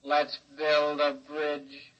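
An actor in a 1950s radio drama playing a naive, childlike steel robot with a grinding mechanical voice and stiff, simple phrasing. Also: male voice, on the high side, completely flat delivery, extremely slow, a bit bright. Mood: childlike, curious. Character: childlike eight-foot steel robot, naive, learning to kill. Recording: dull, lo-fi old radio recording.

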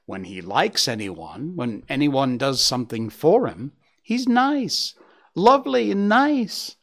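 A man putting on a proud young buck from a storybook, high and lively, bragging about his time away. The voice jumps all over, eager and naive, and falters when caught out. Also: takes it slowly, exaggerated voice. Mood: boastful. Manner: boastful, proud. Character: young male deer, boastful and naive.

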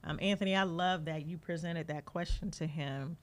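A woman co-hosts a podcast in easy, lively conversation, encouraging her guest. Her voice sits a little low for a woman. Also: moderate pace. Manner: lively, encouraging, laughs often. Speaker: podcast co-host. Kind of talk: casual conversation.

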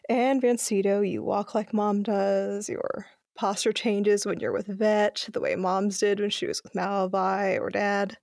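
A gentle sister played by a woman offers comfort in a mid-pitched voice full of vocal fry. It is recorded dry, in an everyday audio drama delivery. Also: level tone, a little slow, hardly any pauses, dry recording.

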